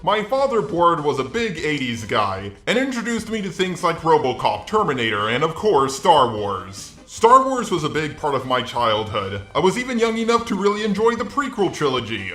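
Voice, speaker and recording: A man's voice: fairly high pitch, huge pitch swings, normal speed, hardly any pauses, fairly bright tone, reverberant. A man's synthetic robot voice delivering scripted, opinionated commentary in a sardonic, sweary manner. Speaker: video essayist.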